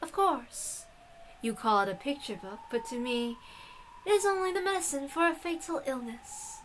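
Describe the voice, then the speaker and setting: An ancient witch of a visual novel, played by a woman, frail yet imperious. She speaks in a high, quiet voice with measured, formal phrasing and serene arrogance. There is faint noise behind her. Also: expressive, poor audio. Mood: formal, courteous. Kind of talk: visual novel read-aloud.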